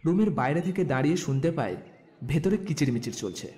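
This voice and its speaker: A male narrator reads a scripted audio story. His tone is soft-edged and mellow, pitched slightly above average for a man. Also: animated, a little fast.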